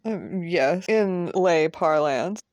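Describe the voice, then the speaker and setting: A woman's voice: on the low side, lively intonation, a little mellow, dry recording. A mild male college professor in an audio drama, played by a woman. He is educated and dry, choosing precise words with some hesitation, in a close, dry recording. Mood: dry, hesitant. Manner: hesitant, precise, measured wording.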